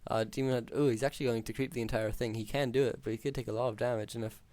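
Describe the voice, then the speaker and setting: A man calling a strategy game match alone, talking through plays with many ums, his voice hoarse after hours of casting. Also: mid-range voice, racing, dry recording. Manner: steady, filler-heavy analytical play-by-play. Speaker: game caster. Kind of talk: solo esports play-by-play commentary.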